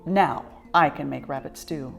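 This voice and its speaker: A woman voicing a simple-minded, good-natured male dog in a children's storybook, earnest, slow to catch on and pleased with himself. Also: low-pitched, vocal fry, soft background music. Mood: puzzled, satisfied.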